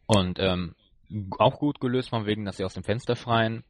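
A man co-hosting a podcast, casually reviewing an episode in a halting way as he searches for words. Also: on the low side, no echo. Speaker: podcast co-host.

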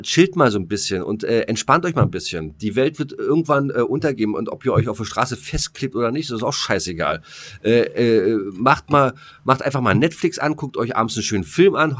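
A man's voice: medium pitch, expressive, fast talker, fairly bright tone. A man co-hosting a podcast in casual, slangy, rambling and jokey banter. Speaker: podcast co-host. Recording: music playing under the voice.

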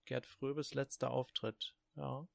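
A man's voice: medium pitch, flat delivery, slow, vocal fry, darkish tone. A man from Germany chatting casually as a podcast co-host, with a joking, self-deprecating manner. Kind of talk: casual chat.

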